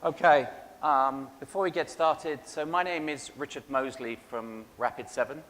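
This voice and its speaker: An Englishman gives a conference keynote in a measured way, with frequent ums and small restarts. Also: mid-range voice, fairly bright tone. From England. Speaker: keynote speaker. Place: large conference hall. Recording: long hall reverb, faint background noise.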